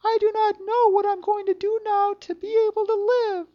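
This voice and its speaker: A man's voice: very high voice, flat delivery, moderate pace, dull audio, voice pushed up. A man voicing a sad, aging female cat in a plaintive storybook manner.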